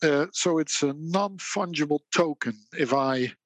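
A man with a Dutch accent speaking as a guest in a conversational interview, measured and reflective. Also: fairly high pitch, no room sound. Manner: measured and reflective, with occasional laughs. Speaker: guest, auction house specialist.

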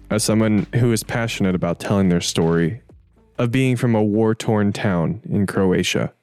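A man narrating a church testimony video and interviewing a member of the church community in an earnest, measured way. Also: fairly low pitch, darkish tone, no echo, studio quality.

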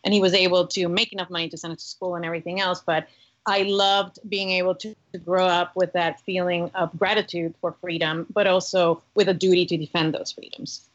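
A woman's voice: on the low side, little pitch movement, brisk, muffled recording. A woman from the United States, a guest on a podcast, speaking in an earnest, articulate way. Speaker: guest, religious freedom advocate. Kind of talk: podcast interview.

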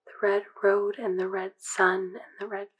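An actress playing a haunted young woman murmuring dreamlike visions in a breathy whisper, in an audio drama. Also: mid-range voice, little pitch movement, slight background noise, natural voice, low-quality recording. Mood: trancelike. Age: young adult. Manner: hushed, slow, trancelike. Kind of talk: whispered inner monologue.

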